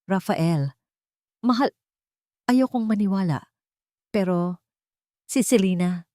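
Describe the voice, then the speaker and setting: A scheming villainess, acted by a woman narrator wheedles in an audio drama. She puts on tearful sweetness, with her pitch lifted, in a close, dry recording. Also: slow pace, mellow, dry recording, lightly acted. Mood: feigned sorrow.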